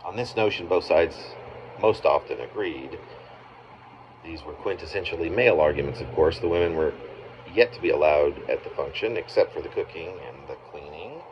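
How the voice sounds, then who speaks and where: A man reading his own narrative poem aloud as its author, in halting phrases with pauses mid-sentence. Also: a bass voice, expressive. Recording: muffled, noisy amateur home recording.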